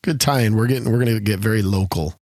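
A man with a low, slightly gravelly voice chats casually as a podcast co-host. He rambles, backtracks and fills pauses with ums. The sound is close and dry, with no room around it. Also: a little mellow, dry recording. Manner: rambling, many restarts and fillers. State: slightly gravelly after illness. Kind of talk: casual chat.

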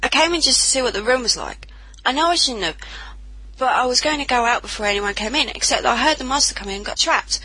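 Woman playing a bright, fast-talking headstrong girl. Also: high voice, expressive, husky, dry recording, full character voice, low-quality recording. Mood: urgent. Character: headstrong, impulsive young girl, defiant and urgent. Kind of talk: audio drama acting.

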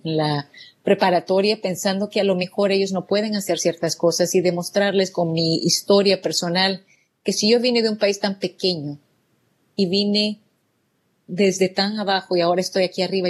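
Woman guest, low-pitched, earnest and grateful answers. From El Salvador. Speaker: guest, real estate business owner. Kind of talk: interview answers.

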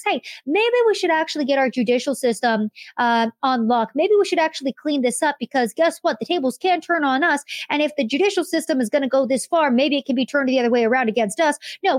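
A woman hosting a political commentary podcast, delivering an indignant, rapid-fire monologue. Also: sits high, no room sound. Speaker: podcast host.

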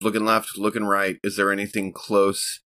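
Low, bright-voiced man, clipped phrasing. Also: monotone, normal speed. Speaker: tabletop game player. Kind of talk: casual game talk.

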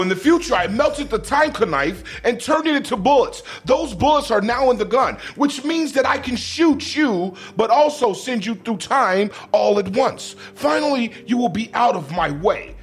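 Man acting a high-pitched, melodramatic action villain. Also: breathy edge, full character voice. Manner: melodramatic, declaiming, explains his schemes at length. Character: boastful, scheming male action-movie villain, Paul Marine. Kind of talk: amateur comedic dramatic script reading.

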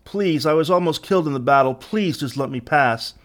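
A man voicing an exhausted young Union soldier in a storybook, pleading and halting as he begs for mercy. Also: a little high, close to an everyday voice. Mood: weary pleading. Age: young adult.

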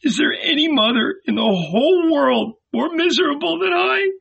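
A man's voice: very high-pitched, animated, normal speed, vocal fry, a bit bright, dull audio, pitched above the performer's own voice, full character voice. A man voicing an anguished middle-aged mother in an audiobook, imploring and tremulous. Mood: heartbroken wailing.

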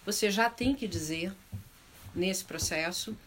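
A woman's voice: mid-range voice, slow pace, a bit bright, slightly rough. A middle-aged woman with a southern Brazilian accent, speaking unscripted in a panel discussion, reflective and often searching for words as she rephrases. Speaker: panel speaker on arts and culture.

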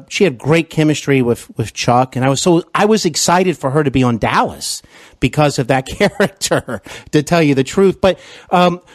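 A man hosting an interview podcast, enthusiastic and complimentary, often pausing with fillers. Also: fairly high pitch, no echo, studio quality. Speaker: podcast host.

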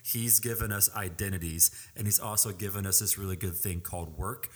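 A man's voice: low-pitched, fairly even intonation, a little fast, husky. A man in his twenties, a student pastor, co-hosting a casual podcast and speaking earnestly with many fillers and restarts. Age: 20s.

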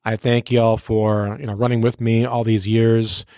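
A man's voice: lowish voice, little pitch movement, a little slow, warm tone, clean tone, no room sound, clean studio sound. A man who works as a radio personality, chatting casually as an interview guest in a slangy, playful, showman-like and rhetorical way.

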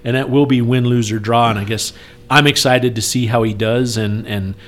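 Male podcast speaker, mid pitch. Earnest, persuasive, conversational appeal with emphatic repetition. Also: steady tone, normal speed, fairly bright tone. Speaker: speaker appealing for campaign support. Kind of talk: casual podcast talk.

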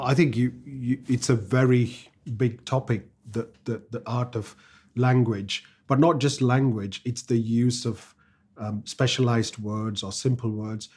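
A man's voice: medium pitch, warm tone, slightly rough. A man from India whose first language is Tamil, a GP telling practice anecdotes in an earnest conversational way with frequent restarts. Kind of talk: conversational discussion.

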